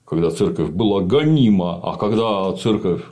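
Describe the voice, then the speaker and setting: A man in his late fifties with a very low, dark, mellow voice. He talks bluntly and emphatically, full of slang, like someone chatting freely with a friend. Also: expressive, moderate pace. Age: 50s. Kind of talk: casual conversation.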